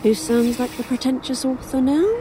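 A woman's voice: high-pitched, steady tone, moderate pace, background noise, natural voice. An actress playing a weary, sardonic human woman in an audio drama, with dry sarcasm and some hesitation. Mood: wry, resigned. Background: outdoor ambience, crows, vehicles.

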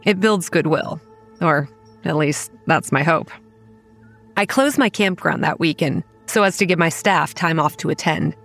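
An actress playing a wry, weary young campground manager who narrates a horror audio drama in a measured, dry way. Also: female voice, low voice, creaky, fairly bright tone, full character voice. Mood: wry, brooding. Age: young adult. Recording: music under the voice.

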